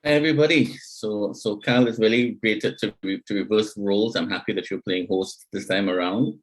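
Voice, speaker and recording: A middle-aged man, an executive coach, introducing himself on a panel in a reflective, measured way over a video call. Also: on the low side, fairly even intonation, low-quality recording.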